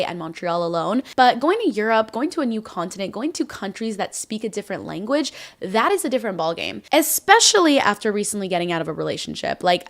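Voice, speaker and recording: A woman's voice: mid-range voice, a bit bright. Chatty young woman podcaster. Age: 20s. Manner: chatty, bubbly, lots of "like" fillers. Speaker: podcast host. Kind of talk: casual solo podcast chat.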